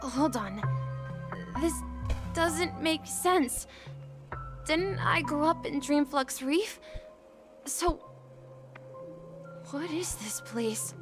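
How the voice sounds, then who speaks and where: Gentle high-pitched young boy, slow and wistful. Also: animated, over music, heavily acted. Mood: confused, hesitant. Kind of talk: video game story dialogue.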